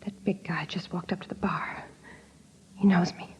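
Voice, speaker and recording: A woman's voice: lowish voice, steady tone, moderate pace, whispered, lightly acted. An actress playing a tough, jittery girlfriend with a secret in an old-time radio crime drama, talking slangy and urgent. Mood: frightened, urgent. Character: hard-boiled, jittery young gangster's girlfriend with a secret. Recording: dull, lo-fi old radio recording.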